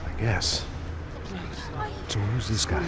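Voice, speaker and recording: An actor playing a world-weary, cynical, hard-drinking bodyguard in a video game, with an American accent and a deadpan, sardonic delivery. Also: male voice, on the low side, slow, noisy background. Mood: glum. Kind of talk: noir scripted narration and game cutscene dialogue. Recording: loud music over the voice.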